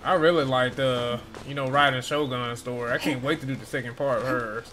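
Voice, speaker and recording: A man's voice: mid-range voice, steady tone, a little fast, pushed and bright, soft background music. A man giving casual gameplay commentary, informal and slangy as he talks to viewers. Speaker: gamer playing on a stream or video.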